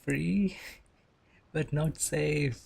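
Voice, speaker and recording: A woman giving casual, low-key game reaction commentary in short, understated remarks. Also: very slow, vocal fry, a little mellow, breathy edge. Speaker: game streamer. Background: game music.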